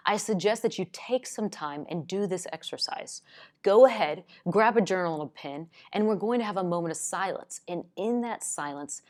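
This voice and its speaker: A woman speaking in a somewhat low register, loud and outspoken by nature. She delivers an earnest, encouraging faith lesson straight to the viewer, teaching step by step. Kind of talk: earnest teaching talk to camera.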